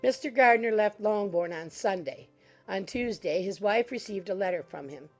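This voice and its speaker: A woman narrating a period novel as an audiobook, in measured, formal scripted prose. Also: medium pitch, faint music behind.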